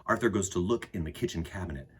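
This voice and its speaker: A man's voice: low-pitched, quick pace, a bit bright, breathy edge. A man hosting children's story time, reading and chatting expressively and enthusiastically, speaking straight to his young audience. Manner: enthusiastic, addresses listeners directly.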